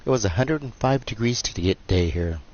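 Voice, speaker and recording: A man's voice: fairly low pitch, expressive, moderate pace, a little mellow. A man hosting a live game stream, chatting casually in a nasal, joking, self-deprecating way. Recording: dull lo-fi stream mic with faint hiss.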